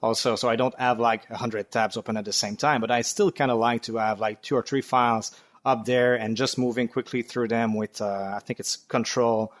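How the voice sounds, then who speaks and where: A man's voice: medium pitch, normal speed. A young man from Quebec with a French Canadian accent, chatting as a podcast guest and explaining his developer setup at an easygoing pace with fillers. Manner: relaxed, explanatory, with frequent fillers. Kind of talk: casual technical chat.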